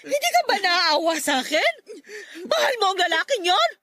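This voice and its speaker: A woman's voice: extremely high pitch, sing-song, normal speed, sharp tone, no echo, exaggerated voice. A woman playing an angry, scornful, domineering middle-aged mother in a Tagalog radio drama, scolding with a Filipino accent. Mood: hurt, reproachful. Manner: scolding, nagging, exclamatory.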